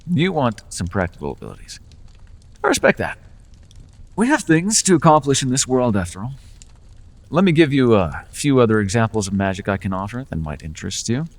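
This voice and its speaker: A man's voice: medium pitch, sing-song, fairly quick, in a large hall. A man voicing a charming, persuasive male Fae who makes deals, with playful, coaxing salesman patter in an ASMR roleplay.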